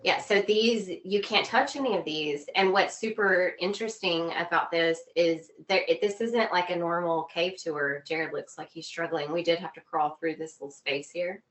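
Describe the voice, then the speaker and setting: Lady on a video call, lowish voice, casual chatty storytelling. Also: normal speed, few pauses, fairly bright tone, rough recording. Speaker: podcast guest. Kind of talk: casual chat, telling travel anecdotes.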